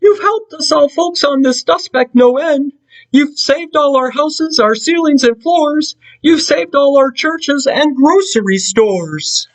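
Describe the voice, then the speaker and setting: A man voicing a tiny, cheerful male mayor in a storybook read-aloud, squeaky and eager. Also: animated, slow pace, distant mic, exaggerated voice, rough recording. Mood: grateful.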